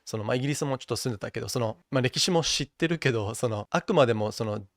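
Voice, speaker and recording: A young man talks in a loose, reflective way, full of fillers and restarts, and slips between English and Japanese. His mid-height voice has a gravelly fry at the ends of phrases. The close mic sounds dry, with almost no room in it. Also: medium pitch, racing, dry recording. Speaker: podcast guest. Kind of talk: casual chat.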